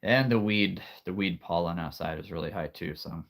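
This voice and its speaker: A man hosting a live stream in a relaxed, chatty way, with a very deep, soothing storyteller voice and frequent throat clearing from allergies. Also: brisk. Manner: soothing, calm storyteller delivery; rambling, with frequent restarts and soft laughs. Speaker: livestream host. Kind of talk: casual live-stream chat.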